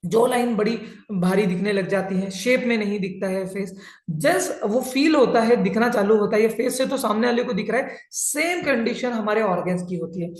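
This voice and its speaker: A man's voice: high-pitched, normal speed. A man in his thirties, a fitness and health coach, patiently explaining body composition in a plain teaching style. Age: 30s. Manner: plain, patient explaining with many English terms. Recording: roomy echo.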